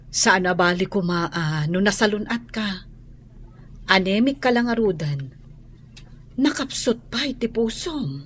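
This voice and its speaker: An actress playing a gentle, worried household servant in an Ilocano radio drama, speaking soothingly and with deference. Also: female voice, medium pitch, animated, a little slow, pauses often, a little hiss or hum, natural voice. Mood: soothing, concerned.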